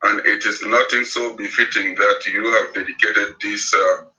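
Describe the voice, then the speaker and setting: A Cameroonian man in his sixties speaking as an interview guest, slow and halting with many fillers. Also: on the low side, steady tone, sharp tone, slight background noise. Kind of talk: remote interview. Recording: distant, dull remote call audio.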